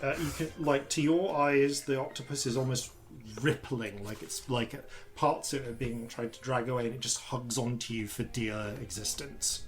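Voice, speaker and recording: A man who grew up in Yorkshire, with an RP accent touched by Yorkshire vowels, running a tabletop game as dungeon master in halting, filler-heavy descriptions. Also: medium pitch, poor audio. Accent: RP with Yorkshire traces. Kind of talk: improvised tabletop game narration.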